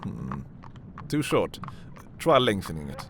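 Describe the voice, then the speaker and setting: Man acting a deep, slow-spoken possessive prince. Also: sing-song, vocal fry, a little hiss or hum, exaggerated voice. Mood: teasing, soft. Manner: slow, deliberate, imperious yet intimate. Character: possessive, commanding young prince, darkly romantic schemer. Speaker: audio roleplay performer. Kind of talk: dark fantasy ASMR roleplay acting.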